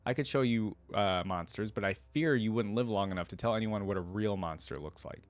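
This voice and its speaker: A man's voice: on the low side, fairly quick, dry recording, close to an everyday voice. A man voicing a cocky, mocking monster warrior with a taunting, nonchalant manner while reading a fantasy story.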